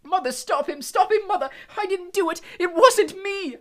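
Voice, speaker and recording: A man's voice: very high voice, big pitch swings, slow pace, pitched above the performer's own voice, full character voice. A man voicing a terrified young man in chains, shrieking and pleading. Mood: desperate.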